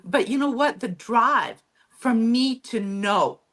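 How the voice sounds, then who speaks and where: Lively higher-voiced woman, native Low German speaker. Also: slow. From Mexico, then Texas from childhood. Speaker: interview guest, author. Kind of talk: reflective conversational interview answer.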